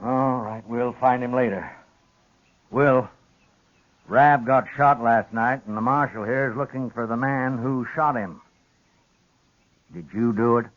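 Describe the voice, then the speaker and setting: A man's voice: mid-range voice, measured pace, pauses often, close to an everyday voice. An actor playing a stubborn old rancher father with a folksy Western drawl in a 1950s radio western. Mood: stern, questioning. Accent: American Western drawl. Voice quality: folksy rural speech. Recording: dull old radio recording.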